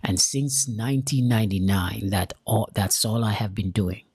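A Jamaican-accented man from Jamaica giving an earnest, deliberate motivational talk straight to his viewers, repeating key lines for emphasis. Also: fairly low pitch, darkish tone, husky, dry recording. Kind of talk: motivational direct-to-camera talk.

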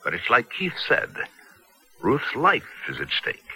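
A man's voice: mid-range voice, huge pitch swings, measured pace, pushed and bright, exaggerated voice. An actor playing a cautious, authoritative man in a radio drama, persuasive and emphatic. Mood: urgent, cautioning. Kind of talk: 1970s radio drama acting.

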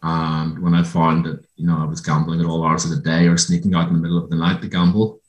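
An Irish man, a guest being interviewed, who tells his own story in a reflective way and pauses often with ums. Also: deep voice, completely flat delivery, highs cut off. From Ireland. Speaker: guest, former gambling addict. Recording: roomy echo.

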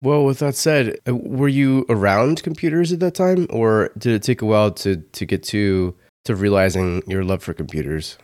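A man's voice: fairly low pitch, moderate pace, hardly any pauses, a little mellow, no room sound, clean studio sound. A man hosting a podcast, upbeat and joking as he welcomes guests. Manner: upbeat, joking, laughs often. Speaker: podcast host. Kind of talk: casual podcast interview.

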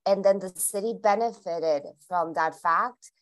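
A woman's voice: medium pitch, unhurried. A Colombian woman whose first language is Spanish, answering interview questions in English with many restarts and repeated words as she thinks aloud. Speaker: guest.

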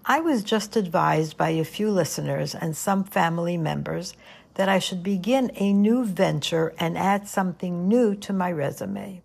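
An older woman hosting a podcast, talking to her listeners in a gentle, earnest, motherly way with a soothing voice. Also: fairly low pitch, normal speed, creaky, breathy edge. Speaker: podcast host. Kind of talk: reflective monologue podcast.

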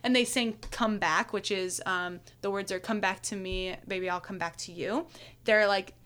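A young woman with a hoarse voice, chatting casually and telling a long story full of fillers. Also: medium pitch, steady tone, moderate pace, a bit bright. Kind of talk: casual chat.

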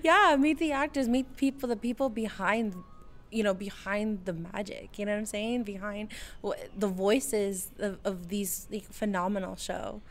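Excited, creaky-voiced woman. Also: medium pitch, normal speed, soft background music. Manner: excited, giggly, gushing. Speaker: fan at a premiere. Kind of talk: casual vox-pop interview. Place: premiere event.

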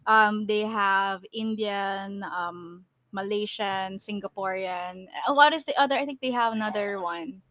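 A woman's voice: medium pitch, unhurried, few pauses, a bit bright, no room sound. A woman from the Philippines with a Filipino accent, co-hosting a podcast in casual chat, laughing often and restarting her sentences. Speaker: podcast co-host.

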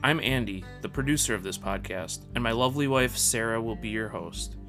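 A man's voice: mid-range voice, a little fast, fairly bright tone. A man reading a scripted podcast introduction in a friendly, promotional way. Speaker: podcast producer. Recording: voice over guitar music. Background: guitar music.

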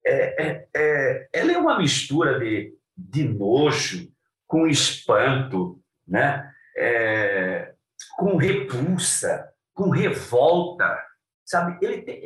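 A man from Cuiabá speaks with that region's accent, his pitch swinging widely as he talks. He stumbles, restarts and fills pauses while answering questions. His voice comes from a distance across an echoey room. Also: fairly bright tone. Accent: Cuiabá Portuguese. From Cuiabá, Brazil. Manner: hesitant, many restarts and fillers. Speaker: prosecutor interviewee. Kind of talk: interview answers.

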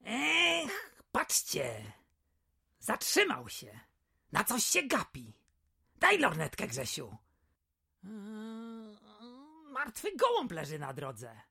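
A woman playing an excitable young village lad in a radio drama, blunt and exclaiming as one of a gang of pals. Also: mid-range voice, drawn-out words, vocal fry, long pauses, breathy edge, heavily acted. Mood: watchful.

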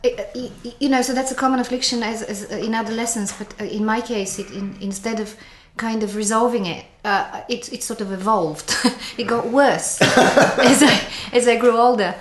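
A woman's voice: fairly high pitch, fairly even intonation. Reflective woman with a Bulgarian accent. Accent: Bulgarian with a New Zealand twang. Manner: reflective, halting, many fillers. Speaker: author guest. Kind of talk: interview conversation. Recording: echoey room, faint noise.

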